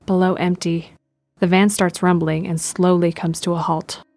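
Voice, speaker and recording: A woman's voice: lowish voice, level tone, normal speed, a little mellow, no room sound, faint music behind. A woman reading scripted present-tense narration for an audio drama.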